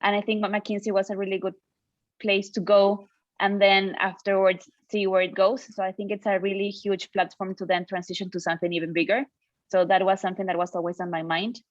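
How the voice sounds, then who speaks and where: A young woman from Peru, introducing herself on a panel in a friendly, halting way, on a video call. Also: medium pitch, little pitch movement, no echo. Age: young adult. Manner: friendly, with hesitations and restarts. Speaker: alumni panelist. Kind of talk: casual self-introduction. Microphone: computer mic on a video call. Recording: dull dry call audio.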